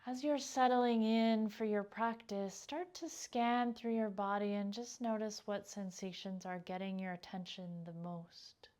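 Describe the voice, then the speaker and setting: A woman leading a guided meditation as a yoga teacher, speaking gently and without hurry, her phrases trailing off softly. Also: medium pitch, fairly even intonation, a little slow, hardly any pauses.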